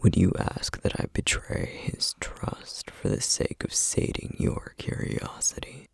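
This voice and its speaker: A man playing a stern, duty-bound flame demon in an ASMR roleplay, speaking in a breathy, husky half-whisper with grave, measured formality. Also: dry recording, studio quality, lightly acted. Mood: stern, challenging. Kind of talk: ASMR roleplay, scripted acting.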